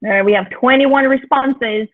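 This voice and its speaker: A woman's voice: sits high, normal speed, no room sound. A woman from Mexico with a Mexican accent, leading an online teaching workshop for teachers in a chatty, encouraging way with plenty of filler sounds. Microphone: video call. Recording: tinny, dry video-call audio.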